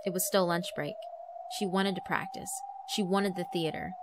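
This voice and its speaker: A woman's voice: on the low side, steady tone, moderate pace, background noise. A woman narrator reading a horror visual novel as dramatic scripted storytelling.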